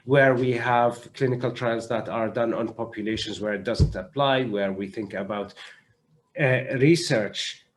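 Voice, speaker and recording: A male doctor speaking as a panelist in an online discussion, explaining in a measured, halting way and often repeating words as he goes. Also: medium pitch, slight background noise. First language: not French (French is third language). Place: online video conference.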